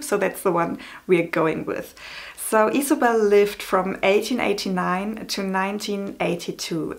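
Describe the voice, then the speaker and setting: A woman in her thirties speaks casually to her viewers in English, her German accent plain throughout. She has a touch of vocal fry at the ends of phrases and a chatty, self-deprecating way of talking, with ums scattered between thoughts. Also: medium pitch. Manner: chatty, apologetic, many ums and small laughs. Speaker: vlogger. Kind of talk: casual talk to camera.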